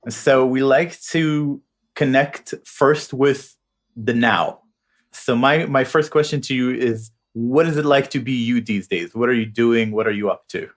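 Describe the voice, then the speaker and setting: A man's voice: medium pitch, a little slow. Israeli man interviewing, thoughtful. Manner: thoughtful, searching for words. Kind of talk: conversational interview.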